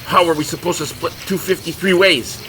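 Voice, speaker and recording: A man's voice: a little high, brisk, bright, forceful tone, a little hiss or hum, poor audio. A man playing an exasperated, sarcastic, foul-mouthed monster hunter in a comedy audio drama. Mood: indignant. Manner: indignant, sarcastic.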